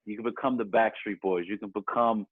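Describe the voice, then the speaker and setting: Low-voiced man on an echoey phone-like line. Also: steady tone, brisk. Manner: rambling, slangy, conversational. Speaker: podcast guest, music performer. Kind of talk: casual chat, telling career stories. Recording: thin phone-like call, roomy echo.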